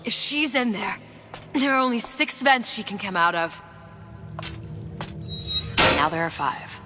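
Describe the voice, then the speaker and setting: An actress playing a cool, enigmatic young femme fatale in a noir video game, speaking in a controlled, guarded, clipped way. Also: female voice, medium pitch, expressive, unhurried, sharp tone. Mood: businesslike, urgent. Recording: music behind the dialogue.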